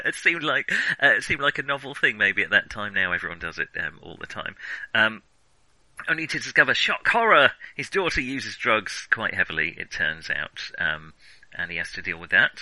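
Casting: male film podcast host, very bright and forward voice, halting and digressive, recorded dry and close. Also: fairly low pitch, animated, dry recording, poor audio. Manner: hesitant, rambling, self-interrupting. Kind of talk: casual film discussion.

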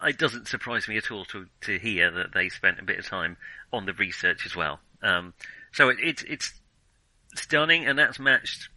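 A man with a very bright, forward voice, recorded close and dry. He talks casually as a film podcast co-host, hesitating and reworking his sentences as he goes. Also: fairly low pitch, lively intonation, normal speed, no room sound, rough recording. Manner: hesitant, self-correcting, circling back to rephrase. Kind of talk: casual film review chat.

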